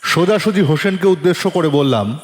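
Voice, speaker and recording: Casting: female detective-story narrator, low for a woman, highly animated, dramatic scripted delivery, reverberant sound. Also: brisk. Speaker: narrator, also voicing the detective. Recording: long reverb with music behind. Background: music.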